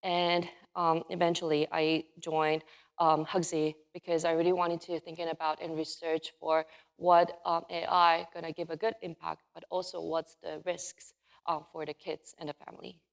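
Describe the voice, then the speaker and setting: A young woman from Japan, speaking English with a Japanese accent as a first language other than English, giving a casual conference talk full of fillers and halting phrasing. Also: low-pitched, little pitch movement, vocal fry. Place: conference hall.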